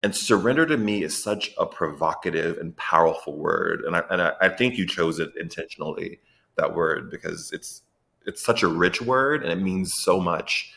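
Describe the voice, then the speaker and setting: A male interview host with a very low voice that rasps into a gravelly fry. He asks earnest, searching questions and repeats words as he works out his thought. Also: moderate pace, fairly bright tone. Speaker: podcast host. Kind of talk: interview conversation.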